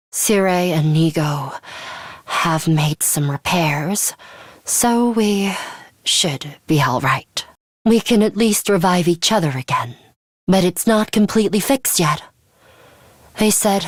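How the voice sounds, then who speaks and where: Cool bossy young fighter girl from a video game, curt, lowish voice, takes her time. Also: no echo, clean studio sound. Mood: matter-of-fact. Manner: curt, cool, deliberate, commanding. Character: stern, aloof, commanding young woman, a student fighter. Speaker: voice actress. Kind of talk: scripted video game character lines.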